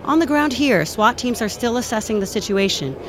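An actress playing a composed, crisp television field reporter giving a formal, measured live report in an audio drama. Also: female voice, fairly high pitch, expressive, natural voice. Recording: noisy city street with sirens behind.

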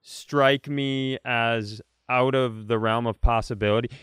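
A man's voice: mid-range voice, steady tone, unhurried, a bit bright, clean tone, dry recording, studio quality. A man co-hosting a comedy podcast, telling rambling, self-mocking stories in casual, playfully exaggerated banter.

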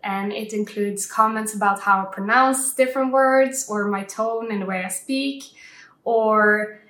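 A woman's voice: mid-range voice, a little slow, fairly bright tone, roomy sound. A woman from Norway with a Norwegian accent, reading out a careful question as a listener voice message.

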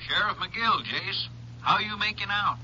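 A small-town sheriff, reports in terse, folksy phrases through a telephone filter. The voice is high and piercingly bright. It has the dull sound of 1950s radio drama. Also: male voice, measured pace, full character voice. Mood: businesslike. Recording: dull old radio recording, faint noise.

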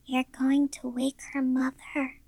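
A woman's voice: sits high, monotone, slow, darkish tone, dry recording, pitched above the performer's own voice, full character voice. A woman voicing an eerie, frightened six-year-old girl in a ghost story, with a childlike delivery. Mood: matter-of-fact, eerie.

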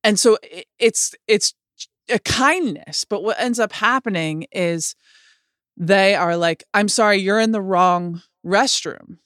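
A woman with a lower voice than most women, speaking candidly in a conversation with many likes and restarts. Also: slow, a bit bright, clean tone, no room sound, studio quality. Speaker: guest sharing her experience.

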